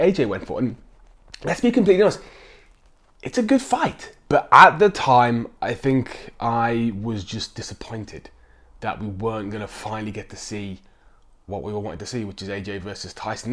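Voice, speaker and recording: A man with a croaky, slightly rough voice from a chest cough. He talks casually to his viewers, often stopping on an um or restarting a sentence. His tone stays easy and conversational. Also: mid-range voice. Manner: chatty, with frequent ums and restarts. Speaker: sports vlogger. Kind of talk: casual chat to camera.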